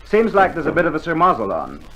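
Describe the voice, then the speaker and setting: An actor playing a laconic, dryly stern Australian sergeant major with gruff understatement, in a 1960s radio drama. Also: male voice, a little high, huge pitch swings, fast, a bit bright. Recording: muffled 1960s vinyl, faint noise.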